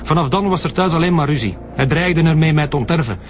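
A male actor plays a bitter, flippant comedian with a Flemish accent and a fairly high voice. He is mocking and defiant, in radio drama dialogue with music faintly behind him. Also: quick pace, lightly acted. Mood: bitter, scornful. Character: cynical, bitter comedian son under police questioning.

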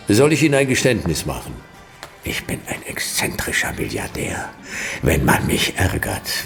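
A hard-boiled detective narrating a radio noir. His voice is extremely low and rough with vocal fry, dry and sardonic in delivery. Faint music runs behind him. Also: exaggerated voice. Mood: quietly menacing. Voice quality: gravelly. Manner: laconic, sardonic. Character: world-weary, hard-boiled fifty-year-old male detective narrating his case. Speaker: lead character and narrator.

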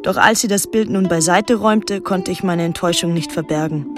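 An actress playing a pensive, detached young woman, narrating and talking in a literary radio drama in a measured, reflective way. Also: fairly low pitch, natural voice. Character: pensive young woman recalling her island summer, detached, introspective. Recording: music under the voice. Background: music.

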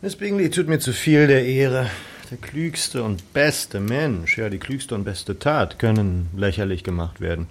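An actor playing a proud, reserved young Regency gentleman in a radio drama, with measured, formal and slightly hesitant phrasing. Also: mid-range voice, expressive. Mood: earnest, proud. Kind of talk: naturalistic German radio drama acting.

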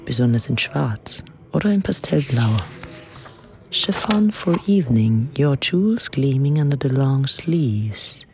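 An actress playing a rapturous, fanatical young English socialite of the 1930s in a gushing, girlish radio drama style. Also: sits low. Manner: gushing, girlish, theatrically excited. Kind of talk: radio drama acting, breathy diary monologue. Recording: music behind the voice. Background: music.

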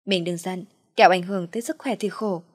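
A woman's voice: lowish voice, a little fast, dry recording, studio quality, lightly acted. A woman voicing a scheming pregnant wife who scolds or coaxes with calculation in an audio story. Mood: coaxing, sly.

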